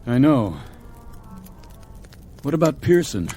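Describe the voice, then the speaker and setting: Suspicious, authoritative spaceship captain. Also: male voice, mid-range voice, very animated, slow, mellow, faint music behind. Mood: probing, terse. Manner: terse, probing. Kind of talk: radio drama acting.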